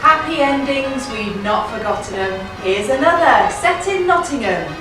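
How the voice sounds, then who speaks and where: A woman playing a pantomime storyteller, narrating in rhyme with a measured, declamatory delivery. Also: high voice, animated. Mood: ceremonial. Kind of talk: theatrical rhyming pantomime narration. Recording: distant, very echoey, music and crowd behind.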